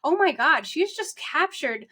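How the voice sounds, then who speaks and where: Female podcast host, higher-pitched voice, effusive and enthusiastic conversational delivery. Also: lively intonation, measured pace, sharp tone. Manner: gushing, effusive. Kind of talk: enthusiastic interview chat.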